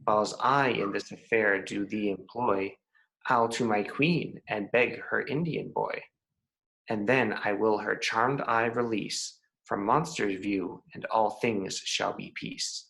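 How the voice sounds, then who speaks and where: A man acting a stately fairy king in a Shakespeare play. The voice is low-leaning and gravelly, and the verse comes out slowly and measured, with commanding weight. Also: a bit bright, exaggerated voice, low-quality recording. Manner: measured, declamatory. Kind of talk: Shakespeare table read in verse.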